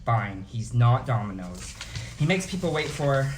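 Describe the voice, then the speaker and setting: Woman as a low-voiced sardonic young guy. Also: sing-song, a little slow, background noise, exaggerated voice. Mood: exasperated. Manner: sardonic, weary. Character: exasperated, sardonic young man working a drive-through. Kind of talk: script table read of a comedy.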